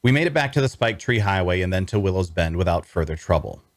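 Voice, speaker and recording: A man playing a weary, wry adventurer, narrating a scripted fantasy tale in the first person in a measured storytelling manner. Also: deep voice, lively intonation, no room sound, studio quality. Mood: calm, reflective. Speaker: voice actor narrating as the protagonist.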